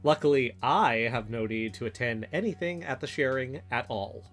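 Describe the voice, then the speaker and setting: A man's voice: mid-range voice, moderate pace, fairly bright tone, close to an everyday voice. Actor as sardonic, suspicious male investigator, guitar underneath. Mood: guarded. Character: suspicious, sardonic, guarded man, an amateur investigator. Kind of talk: naturalistic audio drama dialogue.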